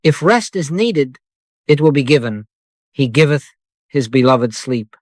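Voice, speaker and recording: Soft-spoken man guiding a prayer for sleep. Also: mid-range voice, animated, no room sound, studio quality. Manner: soft, slow and unhurried, with long pauses. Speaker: meditation guide.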